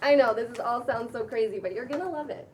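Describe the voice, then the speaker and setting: Woman playing a bubbly, high-voiced housemate. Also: fast talker, recorded from a distance, crowd noise, close to an everyday voice. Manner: breezy, colloquial, reassuring. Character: bubbly, enthusiastic housemate with infectious laugh. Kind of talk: table read acting of a pilot script.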